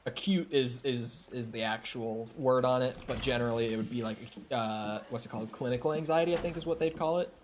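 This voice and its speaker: A man's voice: mid-range voice, brisk, few pauses, a little hiss or hum. A young man streaming a horror game from home, chatting in a rambling, self-deprecating way with lots of restarts. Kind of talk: casual chat while playing a horror game. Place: home room. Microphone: streaming desk mic.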